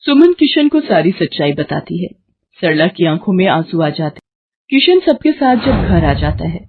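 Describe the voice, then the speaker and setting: A woman narrating a cartoon moral story in an even, plain storytelling manner. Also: lowish voice, normal speed, breathy edge, narrow, tinny audio.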